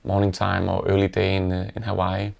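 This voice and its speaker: Gravelly Danish-accented man, low and flat. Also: a little fast. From Denmark. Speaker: interviewee. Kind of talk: casual reflective storytelling.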